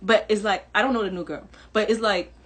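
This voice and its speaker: A woman's voice: medium pitch, steady tone, fairly quick, breathy edge. A woman from New York with a Jamaican-American accent, talking candidly and emphatically to viewers, repeating herself and laughing between points. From New York, Jamaican family. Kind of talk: candid personal video monologue.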